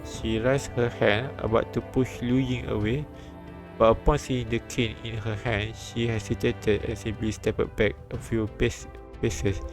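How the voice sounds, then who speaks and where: A man reading a visual novel aloud on a live stream, with halting restarts and bits of commentary. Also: medium pitch, level tone. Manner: halting, repeats and restarts lines. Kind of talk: live read-aloud translation and narration with commentary. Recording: background music behind the voice.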